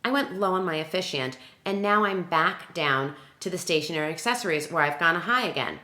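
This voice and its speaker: A woman's voice: on the low side, animated, a little fast, pushed and bright, reverberant. A woman working as a wedding planner gives a conversational, instructional walkthrough, emphatic and often posing questions that she answers herself. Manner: emphatic, self-questioning, talks through examples aloud. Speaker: wedding planner presenting a tutorial.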